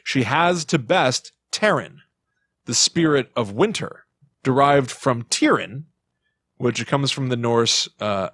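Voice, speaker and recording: A man co-hosting a podcast, explaining things in a casual, halting way as he thinks aloud. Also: mid-range voice, expressive, fairly bright tone, breathy edge, no echo, clean studio sound. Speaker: podcast co-host.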